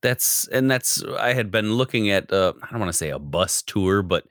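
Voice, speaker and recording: A man's voice: lowish voice, animated, moderate pace, fairly bright tone, no echo, clean studio sound. An American man in casual conversation, talking in a rambling way and often breaking off mid-sentence. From United States. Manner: rambling, self-interrupting. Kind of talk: casual chat.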